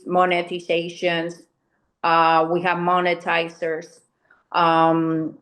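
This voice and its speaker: A woman with a noticeable non-native accent speaks slowly in a slightly low voice. She answers an interviewer in a halting, conversational way, full of fillers and restarts. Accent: non-native English accent. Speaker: podcast guest, investment consultant.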